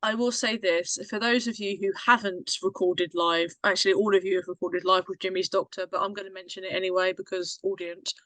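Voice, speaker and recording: A woman with a bright, forward voice chats casually with colleagues, recorded close and dry. She chips in with teasing asides at a middling pitch. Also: fairly even intonation, fairly quick, few pauses, no echo, low-quality recording. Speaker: panel member and audio drama colleague. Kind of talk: casual group chat.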